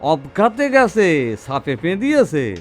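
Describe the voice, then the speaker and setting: Tantric's rough henchman for horror audio drama: high, slow, drawled, very animated. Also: faint music behind. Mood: blunt. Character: male tantric's rustic henchman at a night ritual.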